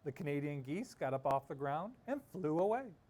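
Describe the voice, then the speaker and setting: A man reading a children's story aloud expressively, with theatrical delivery and dramatic pauses. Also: a little high, sing-song, a little mellow. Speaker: storybook reader.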